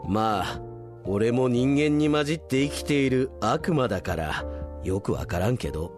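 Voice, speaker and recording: Devil barkeep acted by a man: dropped pitch, casual, unhurried and knowing, radio play over music. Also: lively intonation, lightly acted. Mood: relaxed advising. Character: laid-back male devil bartender, casual and easygoing. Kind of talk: comic radio drama acting.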